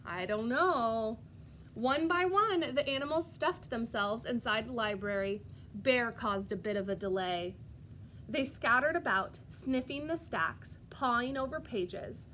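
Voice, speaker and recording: A woman with a fairly high voice reads a picture book to small children. Her pace is very unhurried and her delivery is expressive and sing-song, as a preschool teacher talks. There is some light noise behind her. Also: poor audio. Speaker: preschool teacher reading aloud. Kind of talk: animated storybook read-aloud with questions to young children.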